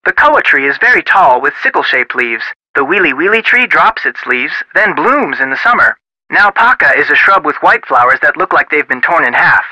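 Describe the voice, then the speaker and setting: An actor playing a friendly young American amateur detective in a video game, casual, folksy and chatty. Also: male voice, a little high, very animated, moderate pace, sharp tone, dry recording, exaggerated voice, rough recording. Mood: breezy.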